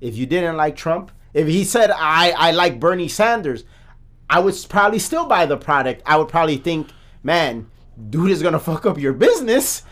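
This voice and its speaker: A man co-hosting a podcast, talking in casual chat, animated and swearing, full of restarts. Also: fairly high pitch, moderate pace. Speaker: podcast co-host.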